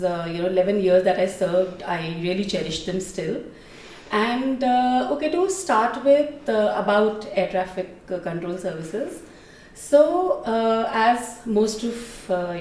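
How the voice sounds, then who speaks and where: A woman's voice: medium pitch, darkish tone, a little hiss or hum. Middle-aged female interview guest, Indian accent, explains things conversationally, roomy echo, a little off the mic. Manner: explanatory, with frequent "you know" asides. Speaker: interview guest, former military air traffic controller. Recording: roomy echo, distant microphone.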